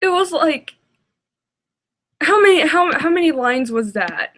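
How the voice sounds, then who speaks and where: Exasperated woman reacting at an unhurried pace. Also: sits high, very animated, a bit bright. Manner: exasperated, sarcastic, swearing. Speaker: reaction commentator. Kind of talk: casual reaction commentary.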